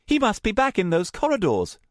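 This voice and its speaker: A man's voice: high-pitched, huge pitch swings, a little fast, no room sound, studio quality, voice pushed up. Man voicing an anxious young woman. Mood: pleading. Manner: worried, questioning.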